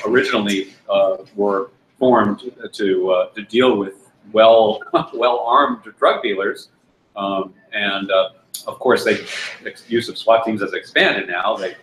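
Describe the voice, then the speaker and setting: An older man, a candidate, answering questions at a panel discussion in a restaurant room, pausing and restarting often. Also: fairly low pitch, a bit bright. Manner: halting, many restarts and fillers. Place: restaurant room with brick walls. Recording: echoey room, faint noise.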